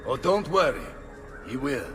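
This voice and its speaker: A man voices a theatrical Italian commander for a video game. His Italian-accented delivery is slow and courtly, with very animated pitch. A long reverb trails the voice. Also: over music, close to an everyday voice. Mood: pleased, scheming. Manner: expressive, courtly, dramatic. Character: theatrical, warm Italian mercenary leader, adult man. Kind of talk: video game cutscene acting.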